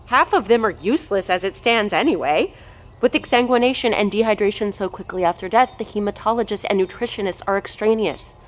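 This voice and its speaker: An actress playing an arrogant, impatient research doctor, haughty and cutting in an audio drama. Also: female voice, mid-range voice, a little fast, lightly acted. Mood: scornful, impatient. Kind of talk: scripted audio drama dialogue.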